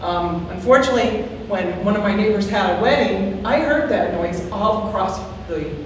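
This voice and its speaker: A woman with a loud voice giving earnest, worried public comment at a town board hearing, repeating her points. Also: mid-range voice, normal speed. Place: large town meeting hall, far from the mic. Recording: echoey hall, distant mic, faint hiss.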